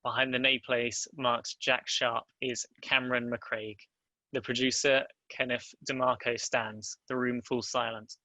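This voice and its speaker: A man reads screenplay directions as scripted narration. His voice is bright and crisp-edged, fairly flat in pitch, and recorded dry and close. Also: unhurried, no room sound, poor audio. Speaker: narrator reading stage directions. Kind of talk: scripted narration at a table read.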